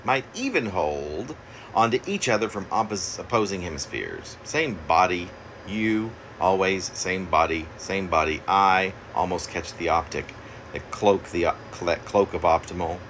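Low-voiced man reading poetry over steady hum. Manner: halting, repeats phrases incantatorily. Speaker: poet reading own work. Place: small room at home. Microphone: phone at arm's length.

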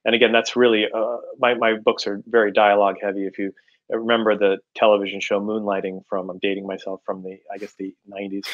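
Low-voiced middle-aged man, halting delivery. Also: level tone. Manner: halting, repeats words while explaining. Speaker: author being interviewed. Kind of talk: conversational interview answers. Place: indoors at home, room with bookshelves, on a video call. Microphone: video call. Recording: slightly dull video-call audio.

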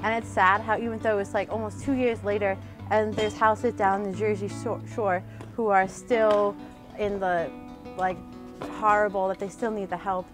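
A woman's voice: mid-range voice, flat delivery, moderate pace, over music. A young woman from Queens, New York, speaking casually in an interview.

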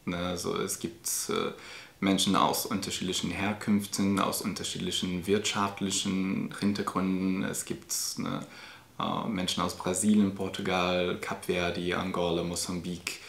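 A man in his thirties, an educator, giving a measured interview answer with fillers in a classroom. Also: deep voice, level tone, creaky, fairly bright tone. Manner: thoughtful, measured, with many fillers. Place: classroom indoors. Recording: echoey room.

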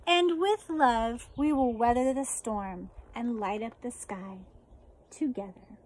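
An American woman in her forties, a teacher reading a children's picture book aloud outdoors with deliberate, expressive phrasing. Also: a little high, a little hiss or hum, low-quality recording. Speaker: elementary school teacher reading a story. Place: outdoors on a residential deck.

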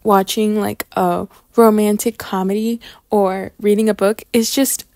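A woman's voice: medium pitch, little pitch movement, measured pace, darkish tone, no room sound, studio quality. A young woman narrating a vlog casually, in a chatty, informal way full of filler words. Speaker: vlogger.

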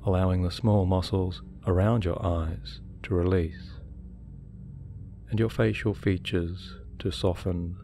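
A man guiding a hypnosis meditation in a soothing, measured way with deliberate pauses. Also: low voice, fairly even intonation, creaky, mellow. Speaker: hypnotherapy guide. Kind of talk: guided meditation, hypnosis narration. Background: relaxation music.

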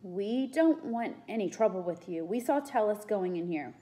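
A woman's voice: medium pitch, lively intonation, normal speed, warm tone, close to an everyday voice. A woman voicing young Roman schoolboys, anxious but defiant, in a storybook reading. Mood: earnest, bold.

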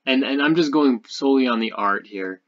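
A man giving casual, unscripted gaming commentary as a video host, speaking haltingly as he thinks aloud. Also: medium pitch, dull audio.

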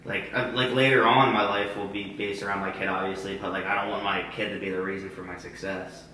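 A man's voice: low-pitched, little pitch movement, quick pace, sharp tone, a little hiss or hum. A twenty-two-year-old man talking casually and hesitantly, rambling with many restarts. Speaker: vlogger's boyfriend. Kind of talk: casual chat. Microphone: hidden camera across the room. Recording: very echoey room, voice far from the mic.

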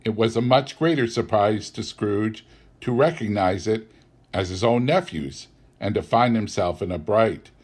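A man reading a classic story aloud as an audiobook narrator, in an expressive, theatrical storytelling manner. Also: fairly low pitch, measured pace. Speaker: audiobook reader.

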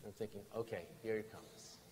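An older American man, a bishop, preaching a sermon in deliberate, emphatic phrases with pauses and repetition. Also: fairly low pitch, a little mellow. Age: 60s or older. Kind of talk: sermon with storytelling. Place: large stone church interior, at lectern. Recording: reverberant church hall, background noise.

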